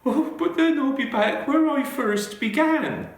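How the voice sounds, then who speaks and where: A man voicing a grumbling, dramatic little old lady from a children's storybook, with wailing, drawn-out exclamations. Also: extremely high pitch, pitched above the performer's own voice. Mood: dismayed. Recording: very echoey room.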